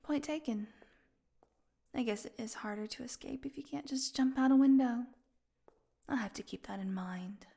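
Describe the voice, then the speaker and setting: A woman playing a weakened, hungry female vampire in an intimate audio roleplay, sarcastic and teasing, with a breathy voice that often drops into a whisper. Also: fairly high pitch, dark tone. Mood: weary, teasing. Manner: sarcastic, breathy murmurs, drawn-out weary delivery.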